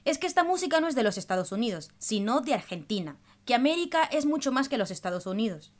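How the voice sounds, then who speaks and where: A woman's voice: on the high side, sing-song, fast talker. A woman hosting a radio programme, explaining things in a didactic, scripted way. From El Salvador. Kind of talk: scripted radio presentation in dialogue.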